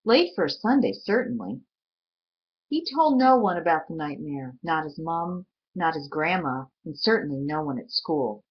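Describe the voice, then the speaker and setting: Slow, husky woman reading a story aloud. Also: on the low side. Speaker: reader. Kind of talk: storybook read aloud, expressive scripted narration. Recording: dull lo-fi recording.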